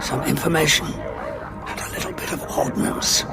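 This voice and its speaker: A man's voice: on the high side, little pitch movement, normal speed, creaky. An actor playing a wily time-travelling adventurer in a sci-fi audio drama, bluffing his enemies with breezy, polite, slightly hesitant patter. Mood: conspiratorial. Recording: music bed behind voice.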